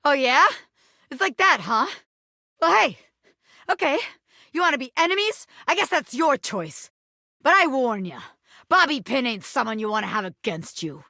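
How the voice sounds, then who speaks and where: A woman's voice: high-pitched, lively intonation, normal speed, creaky, pauses often, pushed and bright, no echo, studio quality, full character voice. A woman voicing a brash, scrappy ex-gangster bobby pin turned flirty magician in a video game, with cocky, theatrical streetwise slang. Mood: menacing. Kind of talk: animated video game character acting.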